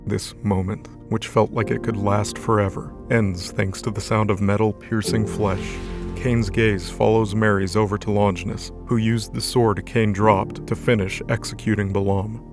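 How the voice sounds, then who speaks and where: A man's voice: lowish voice, flat delivery, moderate pace, music in the background. A man narrating an audio drama in a scripted, dramatic storytelling style.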